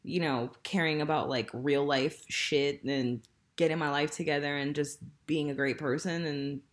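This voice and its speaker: A woman's voice: low voice, fairly even intonation, normal speed. A woman in her thirties hosting a casual podcast, talking frankly and laughing often, with lots of fillers. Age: 30s. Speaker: podcast host. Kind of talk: candid casual chat.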